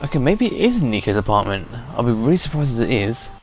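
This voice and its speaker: A man's voice: medium pitch, big pitch swings, quick pace. A man giving informal, unscripted let's play commentary, thinking aloud as he goes. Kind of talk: casual unscripted game commentary. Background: game music.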